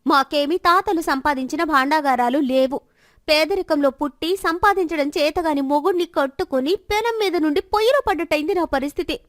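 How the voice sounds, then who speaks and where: A high-pitched village wife, acted by a woman storyteller, bitter and sharp-tongued. She throws scornful questions and complaints in a storybook drama, recorded dry and close. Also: brisk, no room sound, natural voice. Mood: complaining. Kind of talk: dramatized Telugu moral story.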